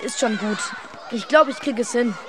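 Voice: medium pitch, moderate pace, crowd noise, close to an everyday voice. A performer playing a stubborn, homesick eight-year-old boy in a radio drama, sullen and terse. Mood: insistent, downcast. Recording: music behind.

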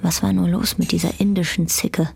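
A woman reading scripted literary narration for a radio drama, with a creaky voice. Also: lowish voice, level tone, moderate pace, darkish tone, full character voice. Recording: guitar music behind the voice.

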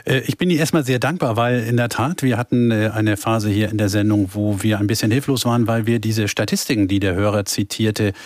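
Man, radio host, low voice, measured, hesitant fillers, dry studio sound. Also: fairly even intonation, no room sound. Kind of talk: radio call-in moderation.

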